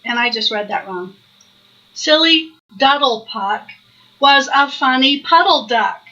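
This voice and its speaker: A woman reading a children's picture book aloud in an expressive sing-song way, pausing to ask listeners questions. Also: high-pitched, dull audio. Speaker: storybook reader.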